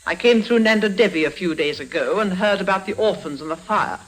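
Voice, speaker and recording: An actress playing a ruthless older woman con artist in an old radio drama, menacing and deliberate in manner. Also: mid-range voice, natural voice, low-quality recording. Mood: smug, gloating. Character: cunning, ruthless old female con artist and crime boss. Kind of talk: 1950s radio drama acting.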